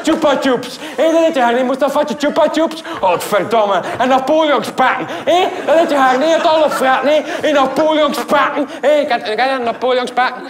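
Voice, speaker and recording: A man with a Flemish accent playing an overbearing, teasing neighbour in a stand-up bit, shouty, repetitive and needling. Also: very high-pitched, moderate pace, hardly any pauses, a crowd in the background. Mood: relentless, excited. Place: large theatre stage.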